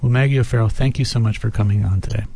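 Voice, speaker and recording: Low-voiced male radio interviewer. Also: fairly even intonation, rapid-fire, vocal fry, mellow. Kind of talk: interview questions.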